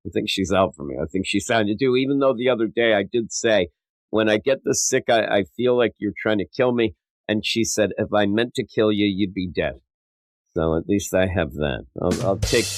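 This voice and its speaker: A sick, stuffed-up man hosting a podcast in a rambling, self-mocking way, his voice a little rough and wavering. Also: lowish voice, no echo. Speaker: podcast host. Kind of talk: casual podcast monologue.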